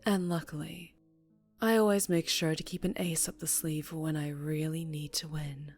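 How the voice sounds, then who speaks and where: A woman playing a menacing, teasing female mafia boss in an ASMR roleplay, speaking deliberately and often slipping into breathy whispers. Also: sits low, steady tone, faint music behind. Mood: taunting, amused.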